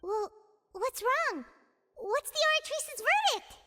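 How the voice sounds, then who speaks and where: A woman voicing a tiny, childlike, excitable fairy companion in a game, squeaky, inquisitive and outspoken. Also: slow pace, heavy background noise, heavily acted. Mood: anxious, curious. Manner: exclamatory, inquisitive. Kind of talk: cartoonish game voice acting.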